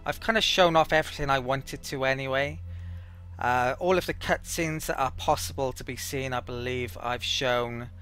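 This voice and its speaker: A man's voice: mid-range voice, normal speed, bright, forceful tone. A man giving casual gameplay commentary as a let's play host, thinking aloud in a hesitant, rambling way.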